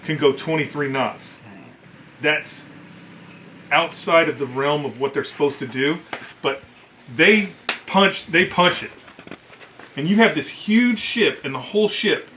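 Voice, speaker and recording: Bright-voiced American man telling military stories. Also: on the high side, a little hiss or hum. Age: middle-aged. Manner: animated, profane, acts out quoted dialogue. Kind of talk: casual anecdotal storytelling.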